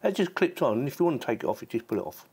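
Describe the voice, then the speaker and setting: A man's voice: medium pitch, sing-song, rapid-fire, darkish tone, breathy edge. A man hosting a casual product review, chatting informally and thinking aloud as he demonstrates the gear. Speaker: tech reviewer and channel host.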